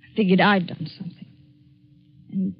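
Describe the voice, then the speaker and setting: Husky, wronged young woman, old radio. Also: sits high, little pitch movement, normal speed, faint music behind, heavily acted. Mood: hurt, bitter. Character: wronged, despairing young woman, bitterly resolute. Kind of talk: 1940s radio drama acting. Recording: dull, lo-fi 1940s radio recording.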